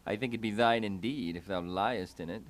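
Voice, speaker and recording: A man playing a thoughtful, melancholy young prince in a puppet-show Shakespeare, speaking in a measured, theatrical way. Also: lowish voice, lightly acted. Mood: inquisitive, wry.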